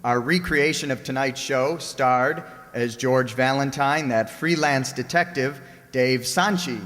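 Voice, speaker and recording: A man's voice: mid-range voice, measured pace, fairly bright tone, faint music behind. A man announcing a recreated old-time radio show in a scripted style. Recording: echoey hall with noticeable noise.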